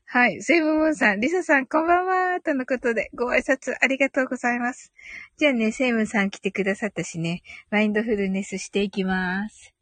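A woman's voice: on the high side, quick pace, fairly bright tone, dry recording. A woman hosting a casual live audio show, chatting with listeners in a giggly way and thinking aloud as she goes.

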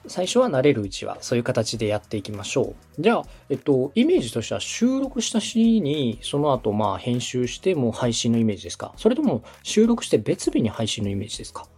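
A man's voice: medium pitch, wildly swooping pitch, fast talker, hardly any pauses, a little mellow, slightly rough, faint music behind. A man from Osaka speaking as a podcast host and radio director, coaching conversationally in a polite, explanatory, step-by-step way. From Osaka, Japan.

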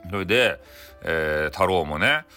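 Animated Hakata man telling folktales. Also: low voice, measured pace. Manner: chatty, many tag particles. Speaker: storyteller. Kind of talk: informal, humorous folktale retelling. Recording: music bed behind voice. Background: music.

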